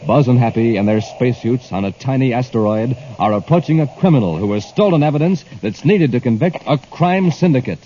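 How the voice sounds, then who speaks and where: A man's voice: mid-range voice, expressive, fairly quick, few pauses, mellow. A man with an American accent announcing in 1950s radio style, with a punchy, enthusiastic hard-sell delivery. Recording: dull, hissy old radio recording.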